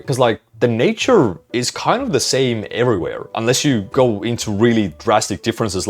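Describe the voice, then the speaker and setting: A young man answering viewer questions in a casual vlog, rambling, self-mocking and swearing offhandedly. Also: medium pitch. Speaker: vlogger.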